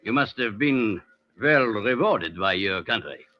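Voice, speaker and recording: Coolly menacing ex-soldier, muffled recording. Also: male voice, lowish voice, expressive. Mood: probing, condescending. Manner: formal, measured, probing. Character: coolly menacing German ex-soldier, formal and condescending. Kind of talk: old-time radio drama acting. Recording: muffled old radio show recording.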